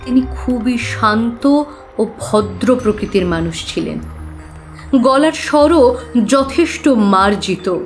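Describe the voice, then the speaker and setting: Young female client, audio drama: high voice, earnest, trusting, troubled storyteller over music. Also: animated, slow pace. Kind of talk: Bengali audio drama, recounting events.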